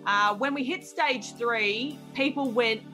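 A woman's voice: a little high, slow, sharp tone, faint music behind. A young woman who hosts a radio show, chatting casually in an interview with jokes and plenty of fillers. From Australia. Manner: jokey, self-deprecating, rambling with fillers. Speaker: radio host guest.

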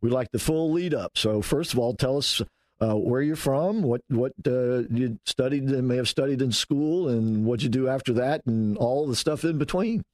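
A man hosting a talk show, questioning a guest in a relaxed, conversational way and sometimes stumbling over his words. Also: medium pitch, moderate pace. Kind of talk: radio talk show interview.

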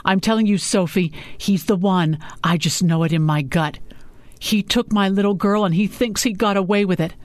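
Woman voicing an emphatic older father, pitched up. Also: dry recording, close to an everyday voice. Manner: warm, emphatic, rounded vowels. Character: warm, grieving, vehement father near seventy. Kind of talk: audiobook character dialogue.

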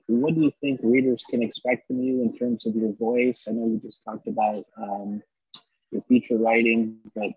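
A man with a husky voice asking an interview question in a halting way, with many fillers. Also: lowish voice, no room sound. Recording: tinny phone-like dry line.

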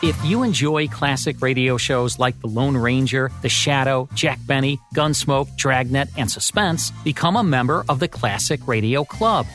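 A man hosting a radio show who reads a scripted promo in a brisk, polished way. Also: medium pitch, over music.

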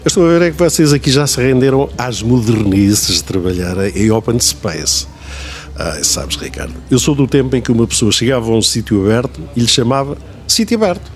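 A man's voice: mid-range voice, animated, a little fast, close to an everyday voice. A man playing a veteran radio personality, a fussy, pedantic grumbler with a European Portuguese accent, in a comedic radio drama. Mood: wry. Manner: pedantic, nitpicking, digressive. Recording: clearly noisy, room ambience.

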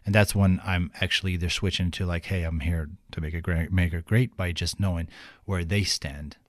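A man from Ukraine with a Ukrainian accent, a guest in a casual interview, speaking haltingly with frequent restarts. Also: low voice, no room sound, studio quality. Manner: halting, restarts often, animated when telling stories.

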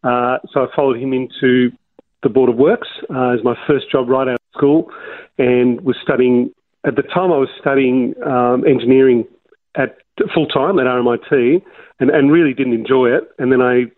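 An Australian man in his sixties recounts his past at an easy, rambling pace, stopping and restarting often. His voice sits at an ordinary pitch and comes through a narrow, phone-like band with no room sound at all. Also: steady tone, normal speed, no room sound. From Melbourne, Australia. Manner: rambling, many restarts and fillers. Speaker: interview guest. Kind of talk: casual interview storytelling. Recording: dry, tinny phone-like line.

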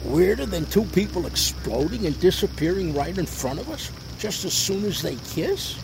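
An actress playing a no-nonsense police officer in an audio drama, brusque and commanding. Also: female voice, on the low side, big pitch swings, normal speed, vocal fry, over music, low-quality recording. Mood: bewildered. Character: no-nonsense, bewildered police officer on park patrol.

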